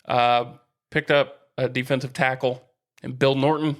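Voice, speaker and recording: A man's voice: medium pitch, fairly even intonation, unhurried. A man co-hosting a sports podcast in casual conversation, slangy, with a dry, wry manner.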